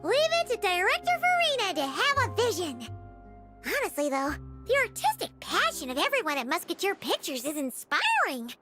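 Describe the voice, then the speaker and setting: A woman voicing a tiny, excitable childlike flying companion in a video game, talking in exclamations. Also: extremely high pitch, moderate pace, soft background music, exaggerated voice. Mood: excited. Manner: speaks of self in third person, exclamatory. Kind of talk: animated video game voice acting.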